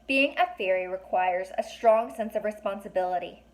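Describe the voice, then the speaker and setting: A woman's voice: medium pitch, normal speed, natural voice, rough recording. A woman voicing a stern fairy council member in a storybook, formal and severe. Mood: grave.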